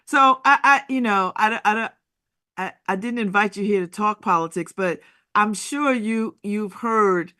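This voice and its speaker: A woman's voice: mid-range voice, unhurried, sharp tone. A woman hosting a casual interview, talking conversationally and often repeating the first words of her sentences as she feels her way forward. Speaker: talk show host, interviewer.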